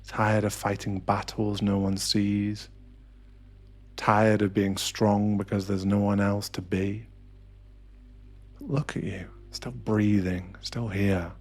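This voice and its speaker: A man narrating a scripted ASMR guided meditation in a hushed, deliberate, intimate and dramatic manner. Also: on the low side, level tone. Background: music.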